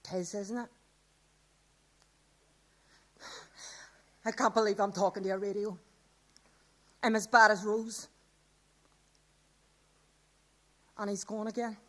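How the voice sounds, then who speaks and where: High-pitched female, acted, radio play; anxious scold sheltering from a poisoned city, exasperated and pleading. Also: close to an everyday voice. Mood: despairing. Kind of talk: radio drama acting.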